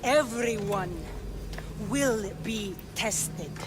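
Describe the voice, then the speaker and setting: A woman playing a cold, commanding cult member in a dramatic video game, speaking in a deliberate, declamatory way over loud music. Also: on the high side, heavy background noise. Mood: cold, menacing.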